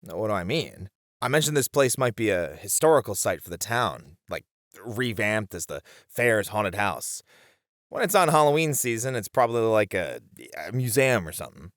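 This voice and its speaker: Man acting a playful, protective boyfriend, very animated. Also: lowish voice, moderate pace, no echo, clean studio sound. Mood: casual, explaining. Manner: teasing, chuckling, conversational reassurance to one listener. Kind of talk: ASMR boyfriend roleplay acting.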